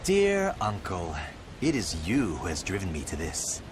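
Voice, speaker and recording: An actor playing a cold, disloyal young noble nephew, speaking in an accusing tone. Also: male voice, low voice, wildly swooping pitch, measured pace, background noise, heavily acted.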